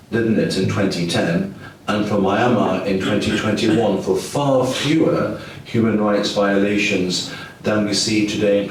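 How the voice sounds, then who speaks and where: A man in the audience politely asking a question at a conference, with some hesitations. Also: fairly low pitch. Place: conference room in parliament. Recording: distant, echoey room with faint background noise.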